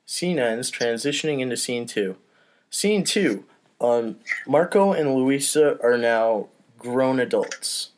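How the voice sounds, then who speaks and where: A man's voice: medium pitch, animated, slow pace. A man casually reading out script stage directions for a comedy table read, with informal off-the-cuff asides.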